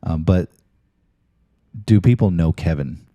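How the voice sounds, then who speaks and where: A man's voice: sits low, slow, dark tone, dry recording. A man hosting a talk show, chatting casually with his guest in an interview.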